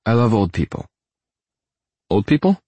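Man voicing a bubbly young woman, pitched up. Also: sing-song, normal speed, warm tone, slightly rough, dry recording. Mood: playful, chatty. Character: bubbly, self-conscious, sweetly oddball young woman. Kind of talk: audiobook character dialogue.

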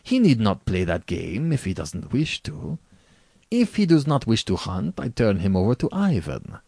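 A man's voice: on the low side, wildly swooping pitch, moderate pace, creaky, mellow, breathy edge, no echo, studio quality, full character voice. A man voicing an urbane, arrogant aristocratic general in a story, with bland, precisely worded condescension. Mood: bland, cruel. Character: urbane, arrogant male aristocrat general who hunts men.

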